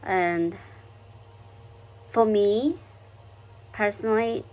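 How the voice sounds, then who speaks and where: A woman's voice: medium pitch, extremely slow, a little mellow. A woman with a Japanese accent talking reflectively in a personal vlog, searching for words with many fillers and restarts. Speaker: vlogger. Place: living in London.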